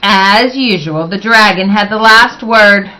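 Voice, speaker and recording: A woman reading a children's storybook aloud as expressive narration, with dramatic, emphatic phrasing. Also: mid-range voice, takes it slowly, rough recording.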